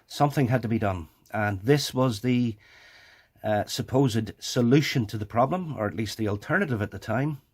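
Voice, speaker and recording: A man presenting a hobby video in a casual, hands-on way, explaining as he goes and often thinking aloud haltingly. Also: mid-range voice, a little mellow, husky.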